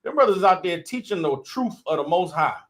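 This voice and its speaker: A man's voice: high voice, expressive, fairly quick. A man giving loose, impassioned religious commentary, full of "you know what I'm saying" as his intensity builds. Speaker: member of the group adding commentary.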